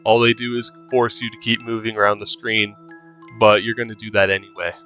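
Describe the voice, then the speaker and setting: A man's voice: lowish voice, little pitch movement, fairly quick, sharp tone. Dull-sounding male gamer over music. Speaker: gamer giving a walkthrough. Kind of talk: casual game guide commentary.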